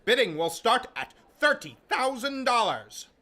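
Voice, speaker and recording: A fussy, crooked auctioneer, played by a man, with a high, sharp voice that rises and falls in a lively way. He speaks formally, in the style of a radio adventure serial. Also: a little slow, exaggerated voice. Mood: brisk, formal. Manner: formal, repetitive auction calling. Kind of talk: old-time radio adventure acting.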